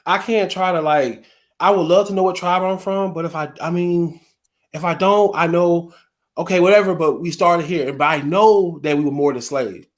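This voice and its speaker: A man's voice: fairly high pitch, normal speed. A man from the United States in a casual podcast chat, earnest and thinking aloud with lots of filler words.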